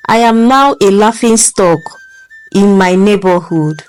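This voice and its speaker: A woman's voice: medium pitch, unhurried, darkish tone, clear, resonant tone, faint music behind, lightly acted. Woman voicing a tearful, bitter young wife. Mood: tearful pleading. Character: distressed young wife, tearful then furious.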